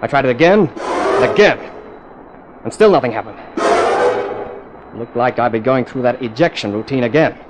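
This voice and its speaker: A man's voice: mid-range voice, lively intonation, moderate pace, long pauses, heavy background noise, close to an everyday voice. A man playing a cool, wry jet test pilot who narrates his own adventure in clipped, dramatic 1950s radio thriller style. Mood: tense, wry narration. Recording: old radio drama with music underneath.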